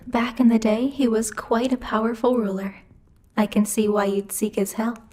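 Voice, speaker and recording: A woman's voice: fairly high pitch, darkish tone. A woman playing a bossy, haughty demon lord who teases and mocks imperiously in a theatrical ASMR roleplay. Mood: amused, condescending. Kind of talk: ASMR roleplay, scripted character acting.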